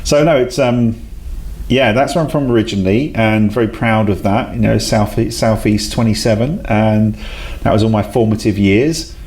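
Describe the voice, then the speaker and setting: A man talks easily as an interview guest. His voice sits fairly low, and he jokes at his own expense and laughs readily. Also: moderate pace, darkish tone. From South London, England. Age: 40s. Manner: chatty, self-deprecating, laughs often. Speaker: guest, head of a talent company. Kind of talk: casual interview chat.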